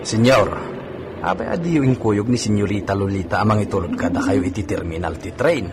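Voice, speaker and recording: A man's voice: lowish voice, lively intonation, brisk, noisy background. A man playing a cheerfully deferential, folksy servant in an Ilocano radio comedy-drama, colloquial and reassuring. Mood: apologetic.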